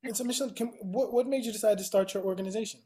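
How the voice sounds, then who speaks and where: A woman's voice: medium pitch, very fast, dark tone. A woman hosting a talk show, chatting casually with her guests and often restarting her questions. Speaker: podcast host. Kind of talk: casual interview chat.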